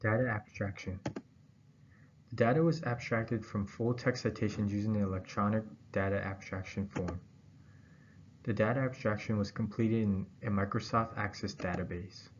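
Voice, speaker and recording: A man reads a scripted academic presentation aloud in a formal, halting way, pausing between phrases. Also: lowish voice, fairly even intonation. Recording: dull, muffled audio with background noise.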